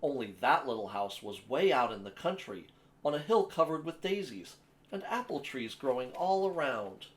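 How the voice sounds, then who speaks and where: A man's voice: mid-range voice, very animated, moderate pace, close to an everyday voice. A kindly young wife from a children's storybook, read by a man. She is observant and speaks in a thoughtful, storybook manner. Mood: wistful recognition.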